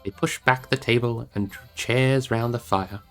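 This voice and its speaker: A man's voice: mid-range voice, steady tone, a little slow. Man narrating a fantasy novel over music. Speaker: storybook reader, streamer.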